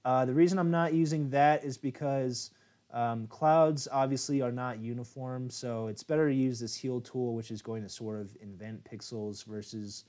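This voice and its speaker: A man's voice: mid-range voice, darkish tone. An American man hosting a live photo editing tutorial stream, talking casually and chatty with plenty of fillers while he works. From United States. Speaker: software tutorial host.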